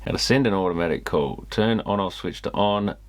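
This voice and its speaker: A man's voice: low-pitched, brisk, dry recording. A man hosting a repair video, casually commenting and reading a manual aloud with muttered asides. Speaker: host of an electronics repair video channel.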